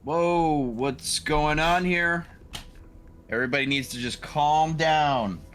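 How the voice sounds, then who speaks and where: A man's voice: a little high, slow pace, fairly bright tone. A man taking part as a player in a tabletop roleplaying game, talking casually and in character. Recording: faintly noisy room recording.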